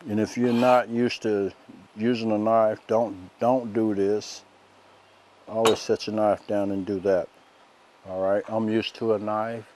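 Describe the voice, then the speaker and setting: A soft-spoken middle-aged man, demonstrating a recipe outdoors as a cooking show host in a relaxed, folksy way. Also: on the low side, measured pace, slight background noise. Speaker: chef host. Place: outdoors by a lake in woods.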